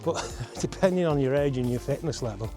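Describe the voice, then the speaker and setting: A man's voice: medium pitch, animated, fairly quick, over music. A man giving casual, chatty commentary with wry understatement as he hikes uphill outdoors, sounding exerted from the climb. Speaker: travel vlogger and host. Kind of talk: casual walk-and-talk hiking commentary. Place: outdoors on a forest hiking trail.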